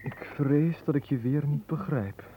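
An actor playing an earnest, slow-witted lovesick suitor in a radio play, hesitant, plaintive and easily hurt. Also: male voice, on the high side, mellow, natural voice. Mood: puzzled. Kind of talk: Dutch radio play acting. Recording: muffled, noisy old radio recording.

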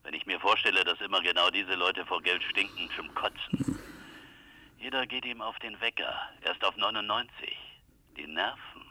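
An actor playing a gruff, fed-up detective's assistant in a radio crime drama, blunt and grumbling. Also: male voice, low-pitched, normal speed, bright, forceful tone, full character voice, rough recording. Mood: irritated, blunt.

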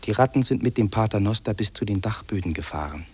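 An actor playing a lonely, fearful city man in a 1950s German radio drama, speaking in solemn, deliberate, incantatory phrases. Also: fairly low pitch, animated, a little mellow, husky. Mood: ominous. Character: lonely, fearful, brooding city man listing what he hears. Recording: old radio play on cassette tape.

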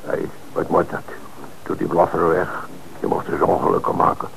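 A gravelly, threatening crook. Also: male voice, deep voice, little pitch movement, moderate pace, fairly bright tone, heavily acted, poor audio. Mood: defiant. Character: arrested male crook, threatening and coarse. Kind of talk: radio drama acting. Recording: old radio play recording with noise.

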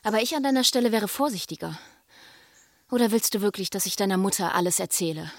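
A woman voicing a pushy, scheming young girlfriend in an audiobook, coaxing then quietly threatening. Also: mid-range voice, expressive, quick pace, no echo. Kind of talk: audiobook dialogue.

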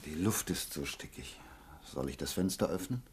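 A protective, very expressive man. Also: a bass voice, moderate pace, slight background noise. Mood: soothing. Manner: soothing, insistent, emotional. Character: protective, reassuring man soothing his beloved. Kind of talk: German radio play acting.

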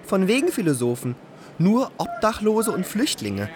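An actor playing a young refugee with wry, fatalistic wit, narrating in a radio drama. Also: male voice, high-pitched, huge pitch swings, moderate pace, a little mellow. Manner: wry, ironic.

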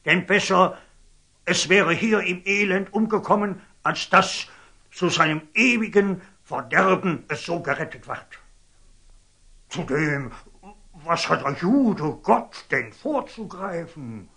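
A man's voice: high voice, expressive, slow pace, pushed and bright, breathy edge, full character voice. An actor playing a pompous, zealous and scheming old churchman in declamatory German radio drama style. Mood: pompous, pitiless. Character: zealous, scheming, fanatical old churchman, shifting from outrage to fawning. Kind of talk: theatrical German radio drama acting of classic stage verse.

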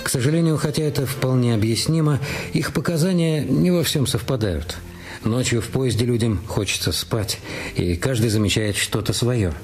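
A polite male police inspector played by an actor in a radio play. He questions in a courteous, methodical and probing way. His voice sits at an average pitch, with music clearly behind it. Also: moderate pace, natural voice. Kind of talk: audio drama narration and dialogue.

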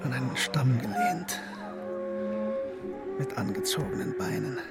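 Lonely troubled man muttering to himself, slow, pitch all over the place, radio drama. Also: huge pitch swings, loud music behind the voice, heavily acted. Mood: anxious, brooding. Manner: halting, fragmented phrases. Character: lonely, troubled man searching the dark, thinking aloud. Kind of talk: radio play interior monologue.

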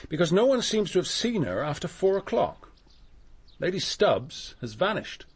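A man playing a businesslike police inspector in a radio drama, slightly husky, questioning in a measured official manner. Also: high voice, sing-song, full character voice. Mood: brisk, probing.

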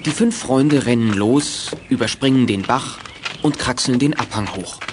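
A man's voice: mid-range voice, moderate pace, background noise. A man narrating a children's adventure radio play in a scripted storytelling style. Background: music.

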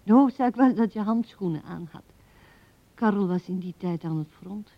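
An actress playing an old widow who muses tenderly and haltingly to her late husband in a radio drama, often dropping to a hush. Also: mid-range voice, no echo, close to an everyday voice. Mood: reminiscing. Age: older. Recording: dull 1970s radio recording.

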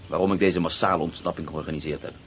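An actor playing a calm, scheming escaped prisoner officer in a radio drama, speaking in a deep, measured way. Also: male voice, a little hiss or hum. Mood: cold, confident.

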